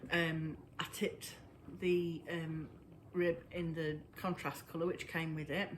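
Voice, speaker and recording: A woman chatting casually to camera about her knitting, a little breathless and wheezy, pausing often as she talks. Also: low voice, little pitch movement, slight background noise. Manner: halting, pauses often. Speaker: knitting vlogger. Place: at home indoors.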